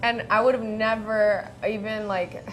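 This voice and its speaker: A woman speaks as the host of a casual interview. Her voice sits at an average pitch and she talks in a chatty, encouraging way. Music plays faintly behind her. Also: fairly even intonation, unhurried, a bit bright, poor audio.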